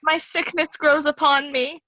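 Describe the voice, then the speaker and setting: A haughty, ailing princess, played by a woman, in a high, bright voice. The Shakespearean lines are slow and imperious. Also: little pitch movement, low-quality recording. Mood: pained. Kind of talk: Shakespearean reader's theatre.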